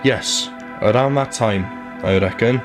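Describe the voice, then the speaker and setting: An actor plays an easygoing local road worker in a radio drama. His voice sits at a middle pitch and he talks in a folksy, colloquial way. Faint music runs underneath. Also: a little slow, natural voice. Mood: reminiscing, intrigued. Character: easygoing local road worker, reminiscing about old tales. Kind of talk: radio play acting.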